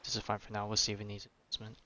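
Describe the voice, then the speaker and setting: A man's voice: fairly low pitch, monotone, racing, bright, forceful tone, slight background noise. A young man doing casual live gameplay commentary, informal and often muttering to himself as he plays. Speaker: game streamer.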